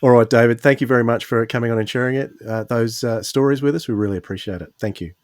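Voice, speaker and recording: A man's voice: medium pitch, fast talker, a little mellow. A man hosting a podcast in a casual, conversational interview style. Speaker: podcast host.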